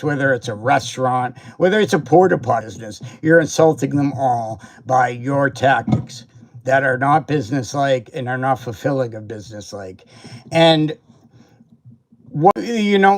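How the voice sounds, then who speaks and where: A man hosting a livestream who rants at a seller with profane, confrontational, repetitive jabs. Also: mid-range voice. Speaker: toy reviewer and livestream host. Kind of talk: angry livestream rant. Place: home livestream setup.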